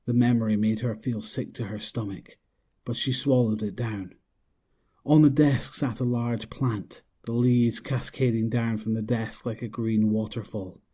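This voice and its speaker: A man's voice: mid-range voice, fairly even intonation, measured pace, mellow, slightly rough. A man reading scripted story narration as the narrator of an audio drama.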